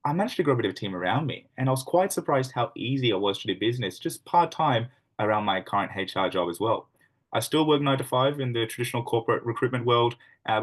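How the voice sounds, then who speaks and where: A man hosting a business presentation over a video call, talking in a brisk, upbeat and slightly rambling way. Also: medium pitch. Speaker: host.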